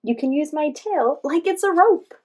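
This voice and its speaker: Casting: woman plays young boy monkey, eager and playful, very high and loud, storybook read-aloud. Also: lively intonation, moderate pace. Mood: encouraging, excited.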